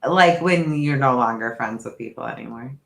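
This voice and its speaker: A man of middle pitch co-hosting a casual podcast, musing aloud and circling back on his points. His voice rings with a noticeable room echo. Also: normal speed, vocal fry, fairly bright tone. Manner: rambling, reflective, talks through ideas aloud. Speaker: podcast co-host. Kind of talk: casual chat.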